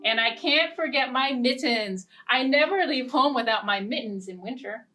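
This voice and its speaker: A woman librarian leading a children's storytime, singing simple repeated verses and reading a picture-book story in a gentle, expressive way. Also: fairly high pitch, moderate pace, recorded from a distance, faint music behind. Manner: gentle, sing-song and expressive. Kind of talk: children's storytime with songs and ukulele.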